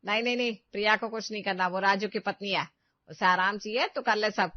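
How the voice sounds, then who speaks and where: A woman's voice: mid-range voice, fairly quick, dry recording, muffled recording, natural voice. A woman voicing a harsh, bossy older mother-in-law with a commanding manner in a family drama story. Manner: scolding, commanding.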